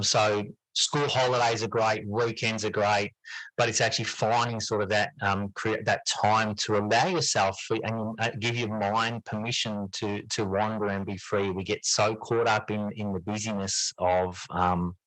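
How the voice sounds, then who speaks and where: A man's voice: fairly low pitch, level tone, normal speed, fairly bright tone, no echo, poor audio. A middle-aged man speaking as an interview guest, earnest and reflective, often rephrasing himself as he thinks aloud. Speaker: podcast guest, teacher and writer.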